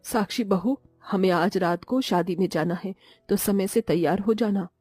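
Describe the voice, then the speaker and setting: A woman's voice: medium pitch, moderate pace, darkish tone, husky, dry recording, faint music behind, natural voice. A woman voicing a stern middle-aged mother-in-law in a cartoon, with a commanding manner. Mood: brisk, commanding. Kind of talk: cartoon moral story voice acting.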